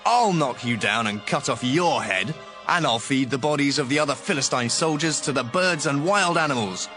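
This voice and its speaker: An actor playing a bold, devout young shepherd in an audio Bible drama, speaking with earnest, declamatory confidence. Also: male voice, mid-range voice, expressive, normal speed, bright, forceful tone, soft background music. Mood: defiant, fervent.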